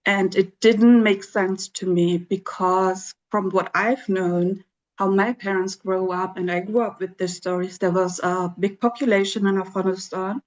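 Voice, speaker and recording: A woman's voice: mid-range voice, steady tone, measured pace. A young woman who grew up in Germany, speaking as an interview guest in an earnest, reflective way with frequent "you know". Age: young adult. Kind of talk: conversational interview. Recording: dull lo-fi audio.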